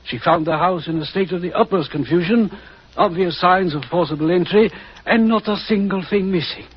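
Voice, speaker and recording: Middle-aged rector, fussy, apologetic, flustered, slightly high husky voice, 1940s radio drama. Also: male voice, moderate pace. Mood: agitated. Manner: flustered, formal, hesitant. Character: fussy, agitated, apologetic English country rector. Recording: dull, muffled 1940s radio recording.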